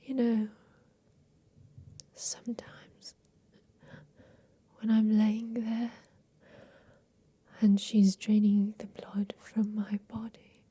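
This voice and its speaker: A woman playing a tender, ailing inventor of a mechanical creature in a soft, whispered ASMR roleplay. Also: mid-range voice, monotone, breathy edge, exaggerated voice. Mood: tender, wistful. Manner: slow, gentle, soothing, with pauses.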